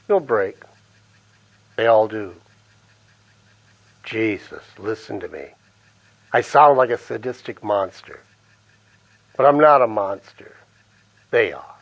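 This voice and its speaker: Male actor as grim, hard-boiled secret agent recording a journal: medium pitch, brooding, weary, muffled audio. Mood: bitter, brooding. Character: bitter, ruthless male underground agent, a weary interrogator. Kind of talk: radio drama monologue, spoken journal entry.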